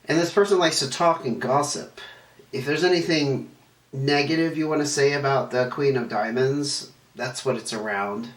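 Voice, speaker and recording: A man's voice: mid-range voice, moderate pace. Congested nasal man reading tarot. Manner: meandering, chatty, self-correcting. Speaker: tarot reader. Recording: echoey room, distant mic, faint noise.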